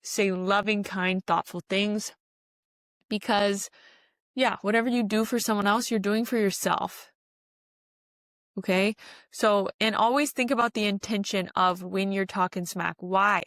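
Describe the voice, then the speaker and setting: A woman's voice: mid-range voice, steady tone, unhurried, fairly bright tone, no echo, clean studio sound. A woman hosting a spiritual self-help podcast, speaking earnestly and urging the listener on, often repeating phrases for emphasis. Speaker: podcast host.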